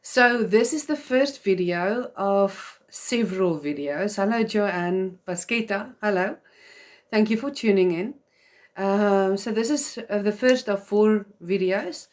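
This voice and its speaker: A woman's voice: mid-range voice, takes it slowly, a little mellow. A woman whose first language is Afrikaans, speaking English with a South African accent as she hosts a live video for mothers in an earnest, chatty way with frequent fillers. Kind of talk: live-stream talk to viewers.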